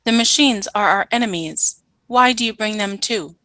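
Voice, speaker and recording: A woman's voice: medium pitch, moderate pace, bright, forceful tone, no echo, natural voice. A woman playing a hostile, dutiful alien soldier in a radio play, with stiff, terse military delivery.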